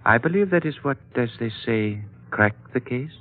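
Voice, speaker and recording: An actor playing a wise, fatherly older Chinese gentleman with formal, measured, flowery speech in a 1940s radio drama. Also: medium pitch, animated, lightly acted. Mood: solemn, fatherly. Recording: narrow old radio recording with music.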